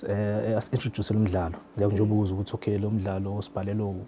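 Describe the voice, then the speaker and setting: Very dark-voiced South African man. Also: fairly low pitch, little pitch movement, quick pace, a little hiss or hum. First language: Zulu. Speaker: technical producer. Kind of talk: casual explanatory interview answers, mixing languages. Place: radio drama studio.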